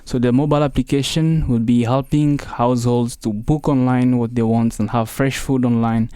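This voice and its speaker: A man's voice: mid-range voice, steady tone, normal speed, mellow, clean tone, dry recording. A man from the Democratic Republic of the Congo, a Swahili speaker, earnestly describing his project as a student guest. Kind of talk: interview answers.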